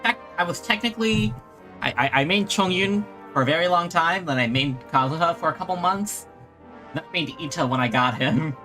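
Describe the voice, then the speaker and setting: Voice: mid-range voice, normal speed, pushed and bright, faint music behind. A streamer giving casual, informal and reactive live commentary while playing a video game. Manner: informal, reactive, reads game lines aloud. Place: game stream, game music behind.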